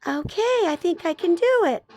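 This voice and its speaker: A woman voicing a sweet, eager little female piglet with a slow, excitable delivery in a children's phonics story. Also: extremely high pitch, faint music behind. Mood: proud, excited.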